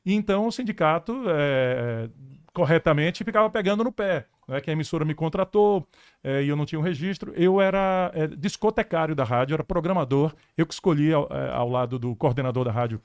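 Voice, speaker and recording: A man's voice: a little high, a little fast, a little mellow, smooth, dry recording. A man in his fifties with a Northeastern Brazilian accent, a veteran radio announcer chatting and joking about himself. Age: 50s. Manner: jokey, self-deprecating. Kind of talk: casual podcast chat.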